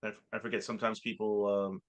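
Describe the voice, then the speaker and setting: A man co-hosting a comedy podcast, telling rambling stories in halting bursts full of filler sounds. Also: fairly low pitch, level tone. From Canada. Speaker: podcast co-host. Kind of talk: casual comedic chat.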